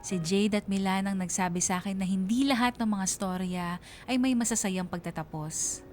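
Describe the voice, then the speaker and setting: A woman's voice: mid-range voice, normal speed, darkish tone, natural voice. A woman playing a reflective, bereaved young woman narrating her own love story in a radio drama. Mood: sad, reflective. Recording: music behind the voice.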